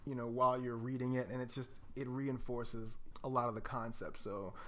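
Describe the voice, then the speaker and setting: A man's voice: medium pitch, flat delivery, moderate pace, a crowd in the background. A man co-hosting a podcast, talking casually and emphatically as he recommends resources and often addresses the listener directly. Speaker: podcast co-host.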